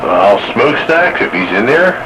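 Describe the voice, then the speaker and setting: An American man in relaxed, informal conversation with other operators over a two-way radio. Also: mid-range voice, moderate pace. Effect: two-way radio transmission. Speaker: experienced radio operator giving antenna advice. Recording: noisy two-way radio with steady background noise.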